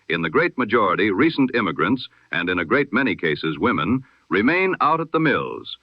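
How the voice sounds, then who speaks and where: A man reading the news in the formal, measured manner of an old-time radio announcer. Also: medium pitch, no room sound. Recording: lo-fi, dull radio-style sound.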